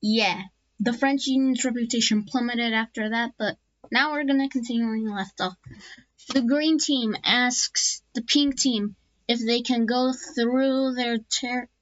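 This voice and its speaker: Higher-voiced woman narrating maps real slow, keeps restarting, dry dull sound. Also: fairly even intonation, fairly bright tone, dry recording. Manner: halting, many restarts and fillers. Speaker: map video narrator. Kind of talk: casual narration of an alternate history map.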